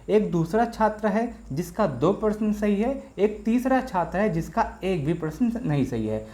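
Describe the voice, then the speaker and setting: A man's voice: sits high, darkish tone. A man hosting an exam-prep explainer video, addressing viewers directly as friends and walking through worked examples step by step, often repeating himself.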